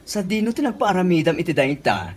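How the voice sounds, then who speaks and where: Effusive, flattering Ilocano friend acted by a man, very animated pitch, radio comedy. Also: fairly quick. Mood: gushing. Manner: effusive, exclamatory. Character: gushing, flattering friend admiring beauty treatments. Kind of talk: comedy radio drama acting.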